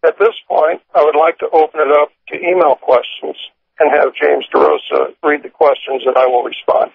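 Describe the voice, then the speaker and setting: Husky American executive on a phone line. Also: male voice, fairly high pitch, steady tone, moderate pace, dry recording. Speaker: company executive leading an investor call. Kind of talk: corporate presentation, reading prepared remarks and answering questions. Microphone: telephone. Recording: dry, tinny telephone conference line.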